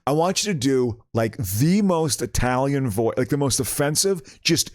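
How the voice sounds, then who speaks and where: A man's voice: medium pitch, animated, moderate pace, a little mellow, no echo, clean studio sound. A man hosting a casual comedy podcast, rambling and stammering through stories, laughing often. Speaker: podcast host.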